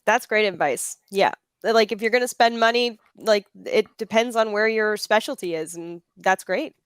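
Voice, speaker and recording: A woman's voice: mid-range voice, normal speed, clear, resonant tone, no room sound, studio quality. A woman moderating a panel discussion, talking conversationally as she hosts.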